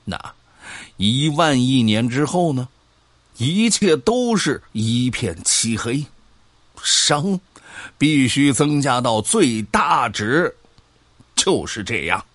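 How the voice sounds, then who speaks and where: A man's voice: mid-range voice, very animated, takes it slowly, fairly bright tone, dry recording, exaggerated voice. An actor playing an argumentative, pedantic man who scoffs and lectures his old friend in a sci-fi audio drama. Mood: emphatic, lecturing.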